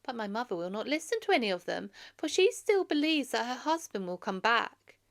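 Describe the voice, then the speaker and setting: A woman's voice: on the high side, big pitch swings, a little fast, gravelly, pitched above the performer's own voice. A woman reading the part of a grieving, earnest young prince who pleads emphatically, like an orator, in a storybook. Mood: distressed, pleading.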